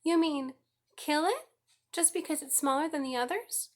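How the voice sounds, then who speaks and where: A storybook farm girl of about eight, acted out by a woman reader, high-pitched and passionate. She pleads and protests with great emphasis and indignation. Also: normal speed, lightly acted. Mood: indignant, shrill.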